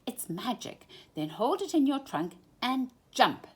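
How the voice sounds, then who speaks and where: A woman's voice: fairly high pitch, very animated, unhurried, a little mellow, slightly rough, pitched above the performer's own voice, exaggerated voice. A woman voicing a clever, kind little male circus mouse who talks in an eager, encouraging way.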